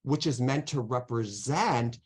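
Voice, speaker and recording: A man's voice: medium pitch, unhurried, slightly rough. A man answering questions as a guest lecturer in an online academic talk, careful and hedging, with many restarts. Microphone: video call.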